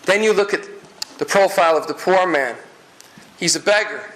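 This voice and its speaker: A man from Guyana preaching a sermon in a lively, humorous way, with jokes and asides to the congregation. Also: high voice, moderate pace, reverberant, rough recording. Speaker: pastor.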